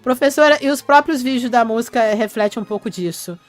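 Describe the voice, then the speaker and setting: A woman's voice: fairly high pitch, fast talker, faint music behind. Chatty woman host answering live comments. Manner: chatty, reads comments aloud and answers them. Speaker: channel host reading viewer comments. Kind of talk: casual live-stream chat.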